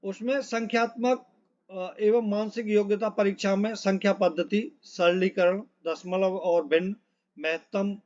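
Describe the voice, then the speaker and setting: Male exam tutor with a notably high voice, instructional and repetitive, informal delivery, on a dull low-fidelity recording. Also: a little mellow. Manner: instructional, repeats points and checks in often. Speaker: exam coaching teacher. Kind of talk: informal syllabus walkthrough, reading out topic lists.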